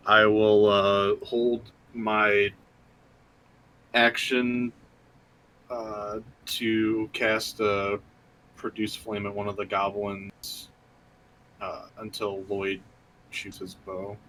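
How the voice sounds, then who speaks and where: Flat, bright-toned hesitant male player. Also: fairly low pitch, extremely slow, pauses often, a little hiss or hum, poor audio. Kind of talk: casual play at a tabletop game.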